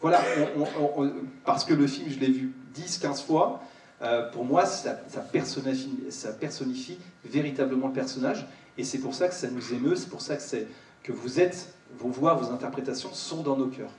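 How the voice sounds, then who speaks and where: A man speaking off the cuff at a live panel, halting and repeating his words as he goes. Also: mid-range voice, a little hiss or hum. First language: French. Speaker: host of a panel discussion. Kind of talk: spontaneous spoken remarks at a live panel. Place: large cinema auditorium. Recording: echoey hall, distant microphone.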